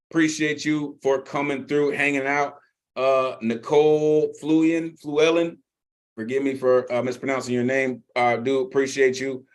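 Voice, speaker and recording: Laid-back man hosting a video call. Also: a little high. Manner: hype, shout-outs, fillers and restarts. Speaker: open mic host.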